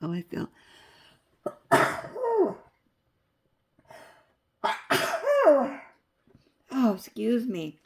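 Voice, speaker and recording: A woman's voice: on the high side, wildly swooping pitch, drawn-out words, long pauses, husky. An older woman with a stuffy nose, chatting casually and rambling in a crafting vlog, laughing often. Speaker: crafting vlogger.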